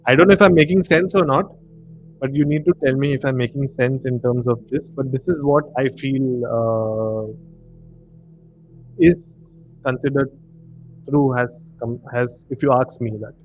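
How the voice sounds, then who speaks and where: Man, Indian accent, medium pitch, casual stream host, halting explanatory delivery, soft background music. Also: steady tone, highs cut off. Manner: halting, explanatory, often checking he is understood. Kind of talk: casual discussion of horror films and the supernatural.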